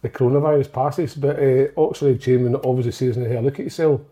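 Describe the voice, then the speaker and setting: A man's voice: mid-range voice, steady tone, fast, warm tone. A man co-hosting a football chat show, rambling and joking crudely, laughing easily. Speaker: podcast co-host, goalkeeper. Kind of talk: casual banter.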